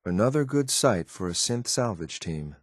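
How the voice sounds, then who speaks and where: An actor playing a grim, cold synthetic soldier in a video game, speaking in a clipped, deadpan, disdainful way. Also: male voice, on the low side, moderate pace, no echo, studio quality. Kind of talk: scripted video game companion lines.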